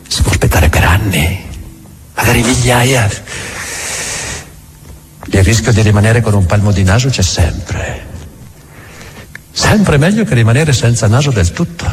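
An actor playing a world-weary, mocking devil in a theatrical radio drama monologue, brooding and sardonic, halting and then rushing. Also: male voice, on the low side. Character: devil, vulgar world-weary mocking tempter musing on doubt.

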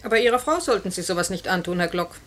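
A woman playing a prim, dry, sharp-tongued secretary in a 1950s radio play, brisk and officious. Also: on the low side, expressive. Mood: dry, formal. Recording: noisy old radio recording.

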